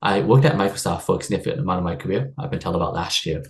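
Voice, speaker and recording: A man's voice: low-pitched, rapid-fire. A man who grew up in the United States, leading a live online workshop as a stress and wellbeing coach over a video call, in an upbeat, chatty way with frequent laughs and fillers. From United States (Seattle area).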